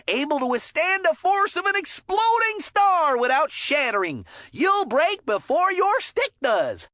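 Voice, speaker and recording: A woman voicing a folksy, excitable, goofy hockey commentator in a cartoon kids' sports game, with hammy announcer patter. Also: high voice, dry recording, clean studio sound, full character voice. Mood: salesman-like.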